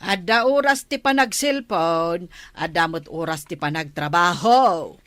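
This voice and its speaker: A woman's voice: on the low side, expressive, measured pace, fairly bright tone, no echo. A woman playing a nagging but level-headed villager in a radio comedy drama, speaking with an Ilocano Filipino accent.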